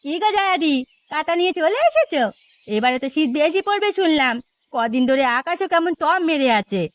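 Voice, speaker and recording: A woman's voice: high voice, lively intonation, brisk, no echo. A woman voicing a sociable, chatty younger village neighbour in a cartoon story.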